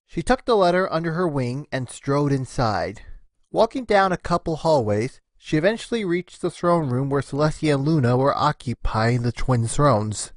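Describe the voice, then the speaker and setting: A man's voice: fairly high pitch, no room sound. A man giving scripted storybook narration of a cartoon fan fiction.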